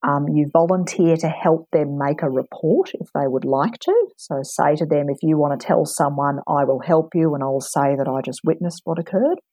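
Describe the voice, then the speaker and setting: A woman, giving calm, measured advice as an interview guest, with frequent ums. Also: low-pitched, husky, no echo, poor audio. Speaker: anti-bullying expert guest. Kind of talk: calm advisory interview answers.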